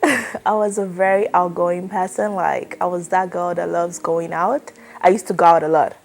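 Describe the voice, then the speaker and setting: A woman in her twenties from Nigeria, answering an oral history interview in a relaxed, chatty way with lots of fillers. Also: lowish voice, moderate pace, vocal fry. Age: 20s. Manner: relaxed, chatty, many fillers like "like" and "you know".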